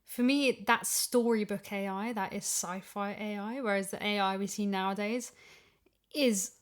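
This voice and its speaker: A woman speaks as a podcast guest in a casual, conversational style. Her voice has an average pitch for a woman, and she is recorded in a home. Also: steady tone, normal speed. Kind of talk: casual chat. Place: in a house.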